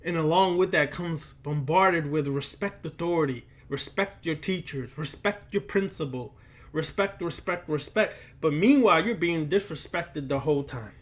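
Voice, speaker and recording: A man lecturing like a preacher, speaking deliberately and emphatically, with repetition and rhetorical questions. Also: on the high side. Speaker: occult lecturer.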